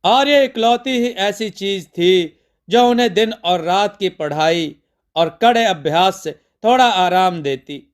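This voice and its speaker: A man narrating a scripted mythological tale as a storyteller, in measured, deliberate phrases with pauses. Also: high voice, clear, resonant tone.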